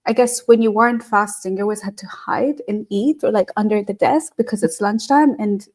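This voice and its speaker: A woman from Tanzania chats as a guest on an online talk. She tells her stories loosely, with plenty of fillers and restarts. Her voice sits at an ordinary middle pitch. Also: brisk, a little mellow. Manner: chatty, storytelling with many fillers. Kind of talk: casual conversation. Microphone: video call.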